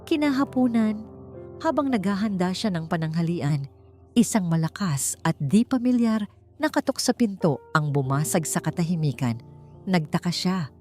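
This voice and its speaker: A woman's voice: lowish voice, animated, moderate pace, a little mellow, faint music behind. A woman reading a scripted romance story as a dramatic narrator.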